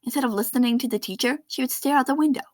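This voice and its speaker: A woman reading a children's picture book aloud in an expressive storytelling style. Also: sits high, rapid-fire, dry recording, clean studio sound. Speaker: read-aloud narrator of children's books.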